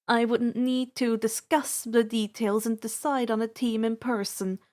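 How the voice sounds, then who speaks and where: A woman reading fan fiction aloud as an expressive first-person narrator, with a deliberate, dramatic delivery. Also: on the high side.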